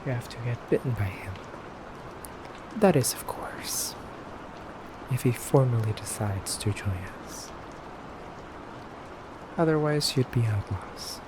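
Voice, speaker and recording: A man playing a stern but courteous femboy vampire interrogator in a soft, deliberate ASMR roleplay, often near a whisper. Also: mid-range voice, expressive, noisy background. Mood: stern warning.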